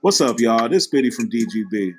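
A man's voice: mid-range voice, lively intonation, fairly quick. A man doing a casual, slangy sponsor read as a host. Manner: informal, slangy. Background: music.

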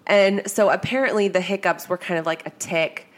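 A woman's voice: medium pitch, steady tone, moderate pace. A woman hosting a casual true-crime podcast. She sounds congested from a cold and tired, and she talks in a chatty, hesitant way full of fillers. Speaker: podcast host.

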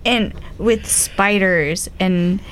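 Woman, slow-paced and halting, casual podcast guest feel, faint noise behind. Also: mid-range voice. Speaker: podcast panelist. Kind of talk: casual chat. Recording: noticeable background noise.

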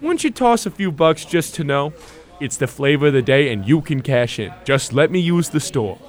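An actor playing a cocky young hustler with smooth salesman patter. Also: a little high, sing-song, moderate pace. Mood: slick, scheming. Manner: smooth-talking put-on gangster salesman patter. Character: cocky young male hustler running a guessing racket.

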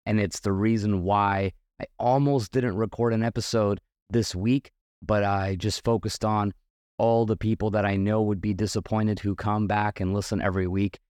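A man hosting a solo podcast, earnest and motivational, his voice a bit hoarse from losing it, with a slight cough. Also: fairly low pitch, flat delivery, moderate pace, darkish tone, no echo, studio quality. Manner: earnest, motivational, with many restarts. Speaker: podcast host.

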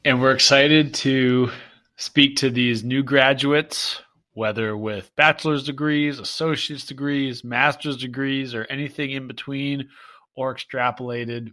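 A man's voice: medium pitch, fairly even intonation, a little slow, pushed and bright. A man co-hosting a podcast who is upbeat and explains his points with analogies and metaphors, with a stuffy nose. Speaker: podcast co-host. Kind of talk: conversational podcast chat.

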